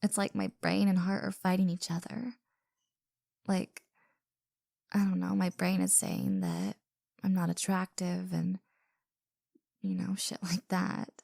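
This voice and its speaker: A woman playing an anxious, self-doubting young woman in an intimate audio roleplay, speaking softly and breathily with hesitant stammers, apologies and sighs, often slipping into a whisper. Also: lowish voice, lively intonation, unhurried, vocal fry, long pauses, dry recording, studio quality, full character voice. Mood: flustered, self-doubting.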